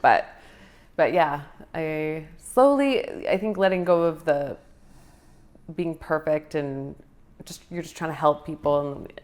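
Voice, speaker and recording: A woman with a fairly low voice, speaking as a guest on a podcast. She talks in a reflective, slightly hesitant way. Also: slow pace, a little mellow. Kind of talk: casual conversation.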